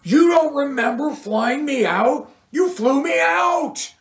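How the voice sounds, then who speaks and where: A woman voicing a loud, volatile rock groupie who shouts and screams. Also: very animated, measured pace. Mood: screaming. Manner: shrill, shouting, screaming tirades.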